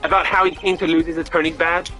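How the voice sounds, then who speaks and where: Streamer playing a smooth, smug young prosecutor. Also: medium pitch, little pitch movement, quick pace, bright, forceful tone, faint music behind, voice pushed up, close to an everyday voice, rough recording. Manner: smooth, theatrical, mocking. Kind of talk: reading game dialogue aloud on stream.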